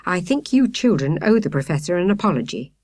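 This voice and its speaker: Encouraging, calm British scout leader, read by a woman. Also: fairly low pitch, a little fast, no room sound, studio quality. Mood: gently reproving. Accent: British English.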